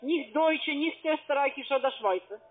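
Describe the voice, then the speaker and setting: High-voiced foreign-woman on phone. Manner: insistent, halting. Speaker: call centre agent. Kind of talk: phone sales pitch. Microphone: phone call. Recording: tinny narrow telephone line.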